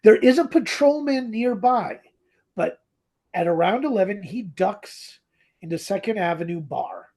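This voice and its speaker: Guy podcast host w a high creaky voice, telling a story casually, animated. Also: measured pace. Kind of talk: casual chat, retelling a radio drama.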